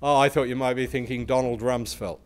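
A man with a big loud voice giving a conference presentation as a research institute speaker, explaining and asking the audience questions. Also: medium pitch, fairly even intonation, a little fast, smooth.

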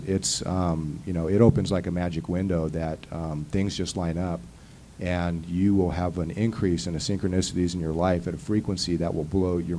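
A man's voice: low-pitched, dark tone, slight background noise. A man in his thirties speaking at a conference panel table into a handheld mic, earnest and rambling with many fillers and restarts. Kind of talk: casual panel talk. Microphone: handheld mic on stand.

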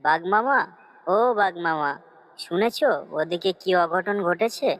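A woman voicing a cunning fox in a cartoon story, coaxing and flattering as it schemes. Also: lowish voice, a little slow, poor audio. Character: cunning fox, sweet-talking helpful schemer.

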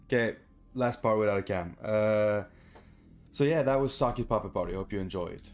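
A man's voice: fairly low pitch, moderate pace, a little mellow, soft background music. A young man giving casual, sweary gameplay commentary in an informal way. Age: young adult. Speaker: gaming video creator. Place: small bedroom, indoors.

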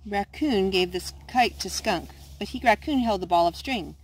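A woman's voice: mid-range voice, moderate pace, breathy edge, low-quality recording. A woman reading a children's picture book aloud at storytime. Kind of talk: read-aloud storytime narration.

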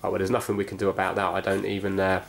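A man's voice: deep voice, completely flat delivery, very fast. A man casually narrating hands-on repair work, thinking aloud and explaining each step in a tentative way. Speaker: host of a repair video. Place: indoors at a cluttered workbench. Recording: clear background noise, clicks from handling.

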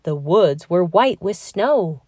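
Slow, expressive woman reading a storybook. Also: on the low side, no echo, studio quality. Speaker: children's book reader. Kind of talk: read-aloud storybook narration.

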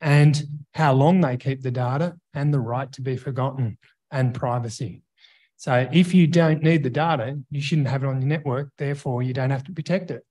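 A man's voice: mid-range voice, moderate pace, darkish tone. A man who works as a trainer, explaining his view informally at a roundtable.

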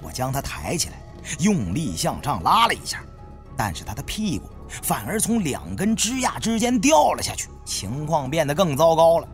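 A man narrating an adventure story in a dramatic, expressive storytelling manner. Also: fairly high pitch, moderate pace, soft background music. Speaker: audiobook narrator.

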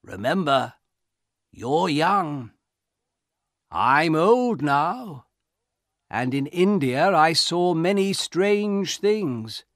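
Man playing a grave, slow-talking old soldier. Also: on the high side, big pitch swings, a bit bright, no room sound, clean studio sound, voice pushed up, full character voice. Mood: solemn warning. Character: grave old soldier back from India, wary and warning.

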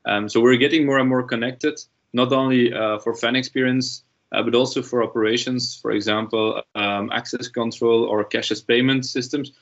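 A man in his sixties speaks as an industry panelist from his study, steady and measured with occasional fillers. His mid-range voice reaches us through a dull, lo-fi video call. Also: mid-range voice. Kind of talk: panel discussion. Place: home study with bookshelves. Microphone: laptop webcam video call.

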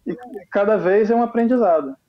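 A man's voice: sits high, brisk. A Brazilian man in his thirties talking casually as a guest on a video call, earnest and hesitant, with a Brazilian Portuguese accent. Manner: earnest, hesitant, many fillers. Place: home room with flag behind. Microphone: webcam on video call. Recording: dull lo-fi call audio.

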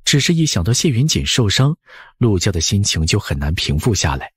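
A man's voice: lowish voice, very animated, a little fast, breathy edge, no echo, clean studio sound. A man narrating a novel as an audiobook, scripted and dramatic.

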